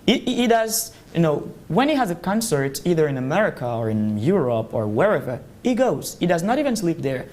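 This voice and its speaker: Animated, emphatic woman in a debate. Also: low voice, a little fast, hardly any pauses. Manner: emphatic, argumentative, repeats phrases for emphasis. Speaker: debate panelist. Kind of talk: lively opinionated round-table debate.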